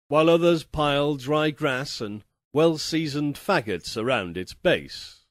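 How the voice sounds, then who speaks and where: A man voicing a wry, erudite castaway who narrates his bizarre misadventures in a theatrical, deliberate, ironic audiobook style. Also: on the high side, dry recording, studio quality. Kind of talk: dramatic first-person audiobook narration of a pulp tale.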